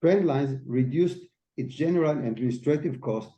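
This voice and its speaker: A man's voice: mid-range voice, normal speed, mellow. A man giving a formal, scripted financial presentation as chief financial officer, reading out figures. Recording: echoey, slightly dull audio.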